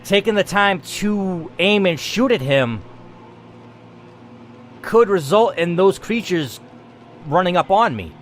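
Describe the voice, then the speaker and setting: A man's voice: sits high, expressive, slow, pauses often. Man dramatically narrating over noisy ambience. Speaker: game player reading aloud. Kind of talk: dramatic first-person story narration.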